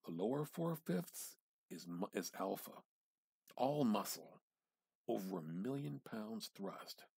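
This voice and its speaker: A man giving an amateur dramatic reading of literary fiction, theatrical and halting, with pauses and emphatic repetition. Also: fairly low pitch, lively intonation, gravelly, a little mellow, husky, no room sound. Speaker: amateur reader.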